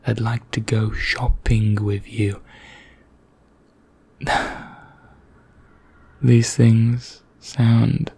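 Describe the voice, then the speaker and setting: A man performs an ancient male vampire knight, languid and darkly courteous, in an ASMR roleplay. The voice is dark, mellow and husky, often sinking toward a whisper. He speaks formally and condescendingly, in an even, barely varying tone with deliberate pauses. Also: mid-range voice, exaggerated voice. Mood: wistful, disdainful. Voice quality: soft, breathy, half-whispered, husky.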